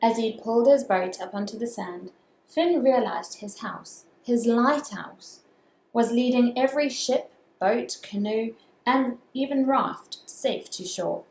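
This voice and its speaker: A woman reading a children's story aloud as a storyteller, with expressive, drawn-out dramatic phrasing. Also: on the high side. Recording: echoey room with faint background noise.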